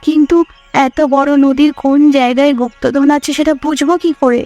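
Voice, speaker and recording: A woman's voice: high voice, fairly even intonation, a little fast, a little mellow, highs cut off, soft background music. A woman voicing an earnest, anxious young village wife in a cartoon fairy tale, questioning and worried.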